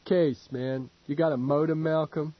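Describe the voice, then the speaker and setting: A man voicing a tense young male hacker, talking clipped, blunt and fast. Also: on the high side, fairly even intonation, no echo, muffled recording. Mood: urgent. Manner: clipped, quick, urgent.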